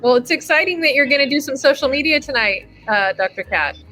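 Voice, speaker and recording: A woman's voice: high voice, lively intonation, a little fast, pushed and bright, faint music behind. A woman hosting a webinar in casual chat over a video call, bubbly and laughing often. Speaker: webinar host.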